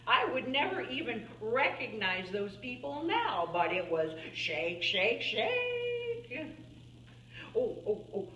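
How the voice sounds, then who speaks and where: Casting: actress as elderly rural woman painter for a stage monologue; unhurried, folksy, wry, halting delivery, recorded in a roomy space. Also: fairly high pitch, big pitch swings, bright, forceful tone, lo-fi sound, heavily acted. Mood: amused, animated. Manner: halting, musing, with small chuckles and pauses. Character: elderly folksy farm-woman painter, plainspoken and wryly reminiscing. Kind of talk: theatrical monologue in character. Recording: roomy, distant mic, faint background noise.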